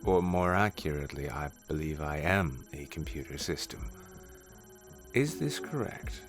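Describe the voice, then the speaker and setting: A man's voice: rumbling low, animated, a little slow. A man voicing a curious, polite artificial intelligence in a formal, precise manner for an audio story. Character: newborn male AI computer, formal, curious, polite. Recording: music under the voice.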